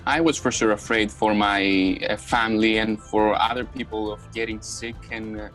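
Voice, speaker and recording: A man's voice: on the low side, steady tone, moderate pace, fairly bright tone, soft background music. A man of nineteen speaks conversationally about himself as a student on a panel. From Greece. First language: Greek. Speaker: physiotherapy student, panel participant. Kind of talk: conversational self-introduction and reflection.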